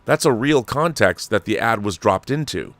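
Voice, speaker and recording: A man with an American accent reads an article aloud as a talk show host, phrasing deliberately and leaning on each item in a list. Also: lowish voice, expressive, fairly bright tone, no echo. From Washington state, United States. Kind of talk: reading an article aloud with asides.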